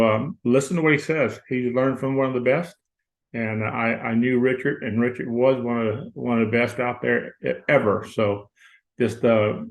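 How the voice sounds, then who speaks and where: A man from Florida, a team leader giving a casual, rambling pep talk on a call. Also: medium pitch. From Florida, United States.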